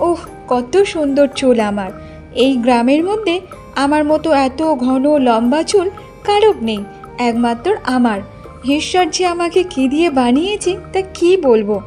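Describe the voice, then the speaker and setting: A woman's voice: sits high, lively intonation, normal speed, dark tone, faint music behind. A woman voicing a vain, haughty young village wife in a cartoon folktale, boastful and scolding. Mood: self-admiring. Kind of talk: Bengali cartoon folktale acting.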